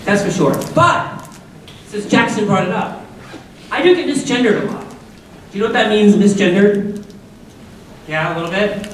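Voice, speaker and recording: A woman in her forties with a deep voice, an upbeat and chatty speaker who keeps asking the students questions. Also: a little hiss or hum. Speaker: guest speaker at a school assembly. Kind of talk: lively talk to students. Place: large school auditorium. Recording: echoey auditorium from far off.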